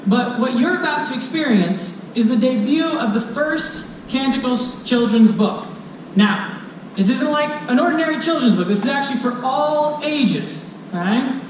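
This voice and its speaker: A storyteller reading rhyming children's fantasy to a live audience in a dramatic, playful way, speaking directly to listeners. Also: high-pitched. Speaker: storyteller presenting a children's book. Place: convention stage before a live audience. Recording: echoey live hall, distant mic, faint noise.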